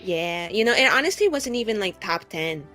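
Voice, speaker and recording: A woman chatting casually as a tabletop game player, playful, expressive and dramatic. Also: medium pitch, normal speed, music in the background.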